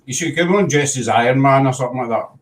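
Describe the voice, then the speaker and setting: A man's voice: mid-range voice, fast, recorded from a distance, very noisy. Rambling Glaswegian man, slightly off-mic. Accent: Glaswegian Scottish. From Scotland. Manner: rambling, self-interrupting, chatty with viewers. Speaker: host. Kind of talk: casual livestream chat.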